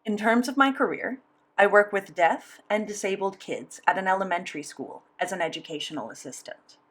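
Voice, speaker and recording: A woman's voice: mid-range voice, expressive, fairly quick, a bit bright. Woman voicing a signed interview. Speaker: sign language voice interpreter.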